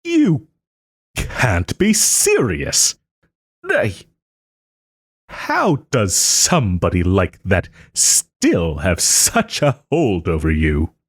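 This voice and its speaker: A man playing a haughty but tender wronged nobleman in a romantic audio roleplay, speaking theatrically with formal, old-fashioned phrasing and hesitant pauses. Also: fairly low pitch, wildly swooping pitch, breathy edge, no echo, clean studio sound, exaggerated voice. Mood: incredulous, urgent. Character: wronged young nobleman, haughty, wounded, still tenderly caring.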